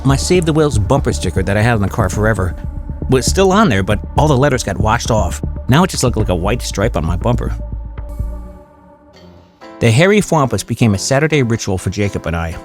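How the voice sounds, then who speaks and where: A man's voice: lowish voice, big pitch swings, a little fast. A man playing a middle-aged suburban father who tells a small-town horror story in a folksy, confiding, conversational way. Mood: wry. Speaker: narrator of an audio horror story. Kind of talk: conversational first-person scripted storytelling. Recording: music bed under the voice. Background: background music.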